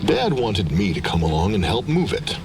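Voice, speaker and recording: A man with a low voice narrates a scripted horror tale in the first person. Clear ambient noise runs behind his reading. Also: wildly swooping pitch, moderate pace.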